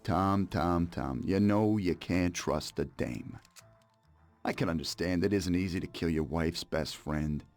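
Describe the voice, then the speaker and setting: A man's voice: deep voice, unhurried, darkish tone, soft background music. An actor playing a smug, cold-blooded mobster in a game cutscene, mocking and sardonic as he menaces an old partner. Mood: cruelly mocking. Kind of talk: dramatic video game cutscene acting.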